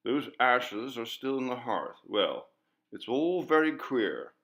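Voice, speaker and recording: Man voicing an inquisitive gentleman, lively swooping pitch. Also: slow, full character voice. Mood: bold. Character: Strammer, curious, brisk gentleman visitor probing a haunted house. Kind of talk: audiobook character dialogue.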